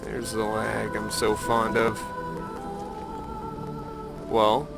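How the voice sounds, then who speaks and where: A man's voice: lowish voice, completely flat delivery, extremely slow, creaky, over music. A man giving casual, rambling gameplay commentary, thinking aloud with playful self-mockery. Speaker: gamer narrating his own playthrough.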